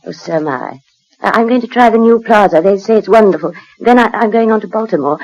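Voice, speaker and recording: A woman's voice: medium pitch, fast, a little mellow, lo-fi sound, close to an everyday voice. An actress playing a well-bred British lady in a theatrical, hesitant 1940s radio drama style. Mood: dreamy, wistful. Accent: British English. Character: well-bred lady, by turns shocked visitor and dreamy mental patient. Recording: old 1940s radio recording.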